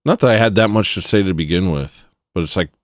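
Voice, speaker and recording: A man with a rough voice, chatting casually and rambling self-deprecatingly while making music on a stream. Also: deep voice, fast talker, dry recording, studio quality.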